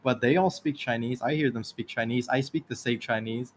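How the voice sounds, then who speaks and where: A man's voice: medium pitch, brisk, slight background noise. A man with a Taiwanese accent chatting casually on a stream, rambling through anecdotes and laughing often. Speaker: stream co-host. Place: lives in Ireland.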